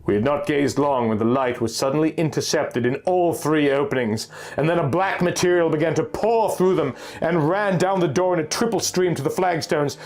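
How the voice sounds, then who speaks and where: A man reading a first-person pulp horror story as a narrator, in a formal, measured, dramatic literary style. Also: fairly high pitch, a little mellow. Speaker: audiobook narrator. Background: music.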